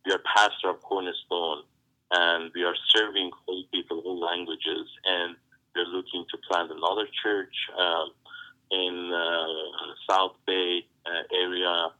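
A man from Iran with an Iranian accent, Farsi his first language, speaking as a pastor in an interview, halting and searching for words. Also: deep voice, little pitch movement, bright, forceful tone, lo-fi sound. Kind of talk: interview answers.

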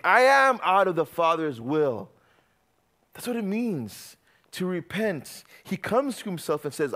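A young man from Uganda preaching to a youth group in a joking, animated way, swinging from loud bursts to quiet asides. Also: fairly high pitch. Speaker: youth pastor.

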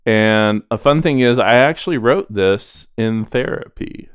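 A man's voice: medium pitch, unhurried, clear, resonant tone, dry recording, clean studio sound. A man co-hosting a podcast in casual chat, talking in a chatty, self-deprecating way. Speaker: podcast co-host.

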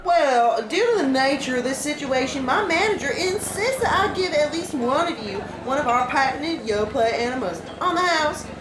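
A woman's voice: high-pitched, lively intonation, normal speed, few pauses. A young woman playing a weary, rule-bound waitress in a comedic radio play, casual and patronising. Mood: matter-of-fact. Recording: roomy echo with clear background noise.

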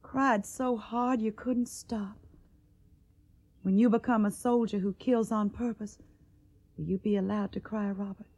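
A woman's voice: medium pitch, fairly even intonation, unhurried, darkish tone. A woman playing an earnest, tender young farm woman with a Southern accent in a radio drama. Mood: pleading, reproachful.

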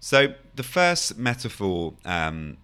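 A man with a deep, low-set voice that sounds croaky and a little hoarse today. He explains things calmly and steadily as a podcast host, often adding "you know" and restarting phrases. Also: slow. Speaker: podcast host and anxiety coach. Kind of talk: solo podcast talk.

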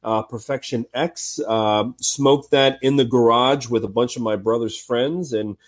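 A man from Ohio with a cold and a slightly raspy voice, a guest telling stories in a relaxed, chatty way with many fillers. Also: mid-range voice, measured pace. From Cincinnati, Ohio, US. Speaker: cigar industry guest. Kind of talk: casual podcast interview.

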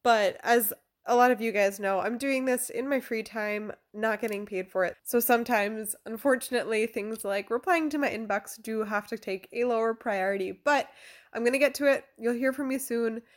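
A woman in her twenties with a fairly high voice speaks as a podcast host. Her delivery is chatty and informal, with a self-deprecating streak. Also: normal speed. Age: 20s. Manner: informal, self-deprecating, given to giggling asides. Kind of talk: chatty podcast intro and interviewing.

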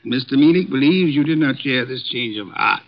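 An actor playing a gruff, pompous male detective in a 1950s radio drama, clipped and dismissive. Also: mid-range voice, animated, a little fast, natural voice. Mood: stern. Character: portly imperious male detective, gruff and fussy. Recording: dull old radio broadcast.

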